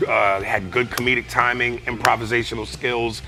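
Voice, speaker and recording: A man with a raspy voice, a comedian guest talking casually in a TV studio with lots of fillers. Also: medium pitch, level tone, moderate pace, music in the background, low-quality recording. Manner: laid-back, full of fillers and asides. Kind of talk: casual TV interview chat.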